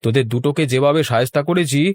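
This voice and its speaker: Guy doing a grumbly demanding village man for a cartoon, mid pitch, dry sound. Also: fast talker, no echo, natural voice. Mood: indignant. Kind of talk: Bengali cartoon voice acting.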